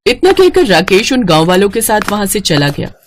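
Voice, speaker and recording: Female narrator, medium pitch, scripted storytelling delivery. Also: big pitch swings, fairly quick, slight background noise.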